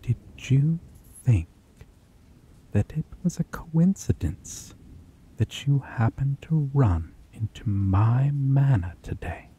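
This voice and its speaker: A man voicing a possessive, obsessive male vampire in an ASMR roleplay, speaking softly and menacingly and slipping into whispers. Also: medium pitch, animated, breathy edge, heavily acted. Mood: coaxing. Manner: slow, soft-spoken, menacing, drifts into whispers.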